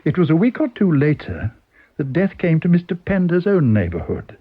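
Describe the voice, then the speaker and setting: A man's voice: a little high, big pitch swings, moderate pace, slightly rough, dull audio. A man with a British accent reading a scripted story as a dramatic narrator. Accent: British English. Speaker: audiobook reader.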